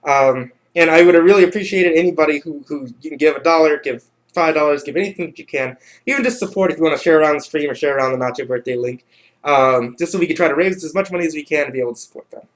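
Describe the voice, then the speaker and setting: A man hosting a livestream in casual chat, halting and often repeating his words. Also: on the high side, poor audio. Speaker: livestream host.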